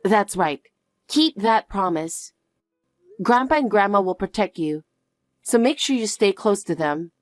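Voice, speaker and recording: A woman playing a calm, protective mother who speaks softly and reassuringly to her daughter. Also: mid-range voice, no room sound, soft background music, close to an everyday voice. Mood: soothing. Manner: soft, slow, reassuring. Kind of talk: dramatic dialogue.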